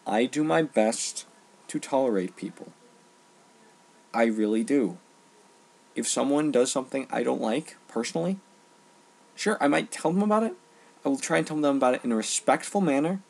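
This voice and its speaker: Husky, creaky young man ranting earnestly. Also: medium pitch. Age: teens to young adult. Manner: earnest, exasperated, emphatic with halting pauses. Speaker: online ranter. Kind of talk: unscripted opinion rant.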